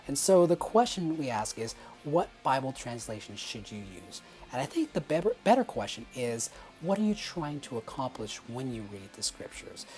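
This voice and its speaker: A man's voice: mid-range voice, big pitch swings, normal speed, a little mellow, soft background music. A man hosting his own teaching channel, talking casually to camera in an upbeat, emphatic way and repeating key points. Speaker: Bible teaching channel host. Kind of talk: casual explanatory talk to camera.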